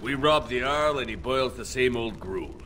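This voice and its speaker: A man's voice: medium pitch, expressive, normal speed, a bit bright, slight background noise, lightly acted. A man playing a cynical, world-weary rogue in a fantasy video game, talking tersely and wryly. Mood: wry, sardonic. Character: gruff, cynical male rogue in a thieving crew. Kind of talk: video game cutscene acting.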